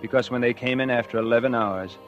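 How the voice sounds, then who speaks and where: A man's voice: medium pitch, fast talker, fairly bright tone, muffled recording, natural voice. An actor playing a guilt-ridden murderer who narrates his own downfall in a 1940s radio drama. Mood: despairing. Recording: 1949 radio recording with music.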